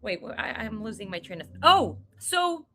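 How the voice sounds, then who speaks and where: A woman's voice: on the high side, sing-song, normal speed, pushed and bright, slight background noise. A woman from Puerto Rico hosting a podcast, chatting casually and reacting eagerly, sometimes losing her train of thought. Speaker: podcast host. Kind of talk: casual interview chat.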